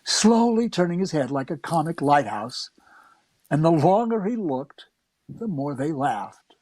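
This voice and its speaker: Wry old-time radio writer telling his story, conversational, lightish pitch, dry studio sound. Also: sing-song, measured pace, darkish tone, dry recording. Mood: wry, reminiscing. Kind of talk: scripted first-person narration in a radio play.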